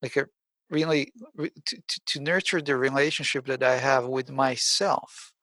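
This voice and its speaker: A man hosting a casual conversational interview, supportive and somewhat halting, often repeating words as he goes. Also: medium pitch, fairly bright tone, dry recording. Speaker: podcast host.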